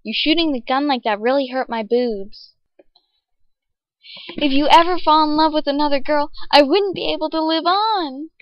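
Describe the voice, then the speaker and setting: An emotional, jealous teenage girl voiced by a woman with a high pitch and dramatic anime-dub emphasis. The recording is slightly dull. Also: normal speed, lightly acted.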